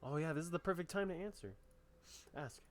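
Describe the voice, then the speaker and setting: A man's voice: fairly high pitch, brisk. A man casually commentating as he plays a video game, rambling and restarting his sentences as he thinks aloud. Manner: rambling, trails off and restarts a lot. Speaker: gamer commentating a playthrough.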